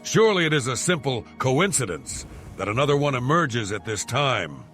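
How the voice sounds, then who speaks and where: A man's voice: medium pitch, sing-song, moderate pace, a bit bright, soft background music. A man playing a pompous, irritable and shrewd archaeologist in a video game, speaking in a haughty, wordy, formal way. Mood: astonished. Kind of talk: video game character dialogue.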